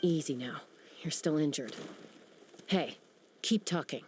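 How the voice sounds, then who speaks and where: A woman's voice: deep voice, measured pace, darkish tone, slight background noise. A woman playing a tough young gunslinger who questions a prisoner with blunt, commanding, clipped questions in a game cutscene.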